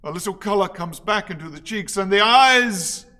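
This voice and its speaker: Radio play: male actor as pompous, servile orator, halting poetic declamation, fairly high and bright. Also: animated. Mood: solemn oratory. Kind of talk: theatrical radio play recitation.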